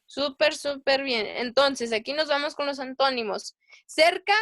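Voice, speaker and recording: High-voiced woman teaching grammar. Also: measured pace, a bit bright. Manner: patient, quizzing students, thinking aloud. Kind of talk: online class drill.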